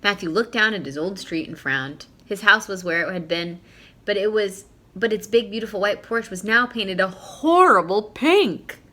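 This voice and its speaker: A woman reading a picture book aloud to young children in an animated, teacherly way. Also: medium pitch, normal speed. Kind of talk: expressive children's read-aloud.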